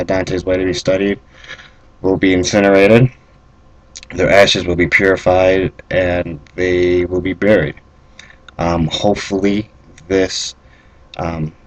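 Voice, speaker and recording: A man with a very deep voice improvises as a fantasy lord giving orders. He speaks slowly and deliberately, with halting, measured phrasing. His pitch moves very little, keeping the delivery flat. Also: low-quality recording. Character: stern kingdom lord commanding armored Templars. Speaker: role-player. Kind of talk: improvised in-character fantasy roleplay monologue.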